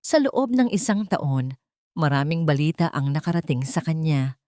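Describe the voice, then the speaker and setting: A woman with a Filipino accent narrating a scripted dramatic story in a measured, sentimental, literary way. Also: deep voice, expressive, dark tone, no room sound, clean studio sound.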